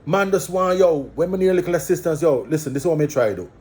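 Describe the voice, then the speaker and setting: A man's voice: fairly high pitch, expressive, fast talker, a little mellow. A man from Antigua with an Antiguan accent, talking his views in a casual, preachy monologue, repeating phrases for emphasis.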